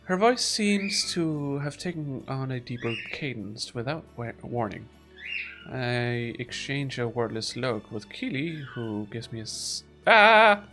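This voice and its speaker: A woman reading a game's narration and dialogue aloud, expressive and lively, and chatting casually between lines. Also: rumbling low, takes it slowly, music in the background. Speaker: streamer and co-player.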